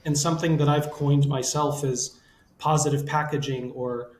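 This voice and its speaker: A man hosting a conversational advice podcast, summarizing and reframing points in a measured way, recorded with roomy echo. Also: mid-range voice, steady tone. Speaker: podcast host and relationship counselor. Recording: roomy echo, audible background noise.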